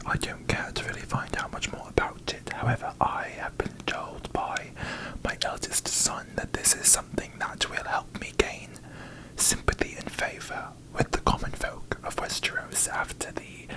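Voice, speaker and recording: A performer voicing a haughty, disdainful fantasy queen in a breathy ASMR whisper, with pompous, deadpan, regal diction, as a comic parody. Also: very high voice, exaggerated voice, poor audio. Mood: haughty, dismissive.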